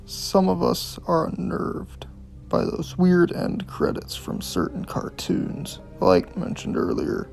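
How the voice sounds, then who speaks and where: A man's voice: a little high, creaky, darkish tone. A man hosting a casual video essay on horror clips, speaking in an informal, opinionated way with plenty of swearing. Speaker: host of a video series reviewing analog horror.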